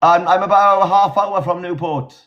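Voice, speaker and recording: A man's voice: high-pitched, steady tone, normal speed. A man from South Wales with a Welsh accent, calling into a live stream and chatting in a laid-back, slangy way. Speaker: caller. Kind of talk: casual chat. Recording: dull lo-fi call with faint music.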